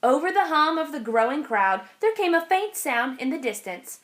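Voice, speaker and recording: Animated woman reading a children's story. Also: sits high, normal speed. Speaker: read-aloud storyteller. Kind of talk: expressive children's picture book narration.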